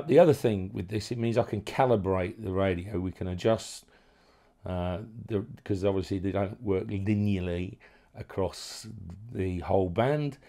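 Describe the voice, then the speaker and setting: A man presenting as an electronics hobbyist, explaining things informally and haltingly, often correcting himself as he goes. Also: lowish voice, darkish tone. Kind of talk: informal technical walkthrough.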